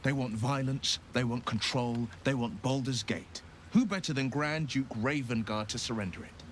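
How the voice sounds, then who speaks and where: A man's voice: mid-range voice, normal speed, slight background noise, natural voice. A man playing an earnest, resolute young nobleman turned warlock adventurer in a dramatic video game, speaking in a formal, sincere way. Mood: urgent, defiant.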